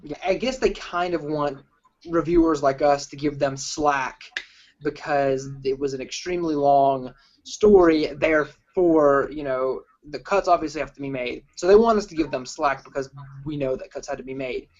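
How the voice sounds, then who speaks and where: Higher-pitched man, halting casual film reviewer. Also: a little mellow. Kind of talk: casual group film discussion. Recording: dull lo-fi sound.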